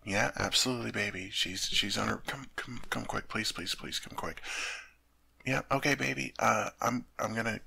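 A man playing a doting young king and husband who stammers and repeats himself when flustered and drops into soft, tender whispers. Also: lowish voice, normal speed, vocal fry, slight background noise, exaggerated voice. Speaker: ASMR roleplay performer. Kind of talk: ASMR audio roleplay.

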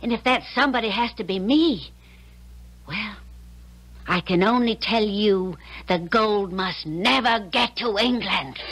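A woman's voice: medium pitch, unhurried, fairly bright tone, slight background noise, close to an everyday voice. A woman playing a bold, resolute actress turned spy in a 1970s radio drama. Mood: earnest, resolute.

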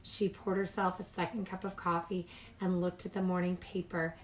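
A woman reading picture books aloud to young children as a storytime host, with animated delivery and chatty asides. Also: lowish voice, moderate pace, a little hiss or hum, low-quality recording.

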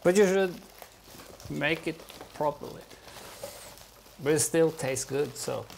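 An excitable man, bickering and laughing as he bakes. He is recorded in a home kitchen by a camera a few steps away, with faint clicking behind him. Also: fairly high pitch, slow pace, vocal fry, a little hiss or hum. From Norway. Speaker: co-host. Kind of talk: casual baking chat. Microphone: unseen mic, medium-distance camera.

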